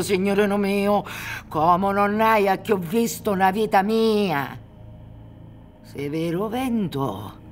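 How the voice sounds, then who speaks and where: An actress in her sixties playing a haunted, grieving old peasant mother in a radio drama, with a Sicilian accent and a halting, pleading delivery. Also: fairly low pitch, a little hiss or hum. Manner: slow, halting, swinging from whispers to cries. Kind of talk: dramatic radio play monologue. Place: theatre stage.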